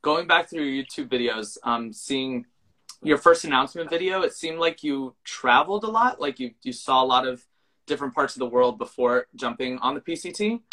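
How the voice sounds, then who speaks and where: A podcast co-host asks questions in a chatty, easygoing manner. The voice is bright and forward, at a middle pitch. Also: moderate pace. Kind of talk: casual interview.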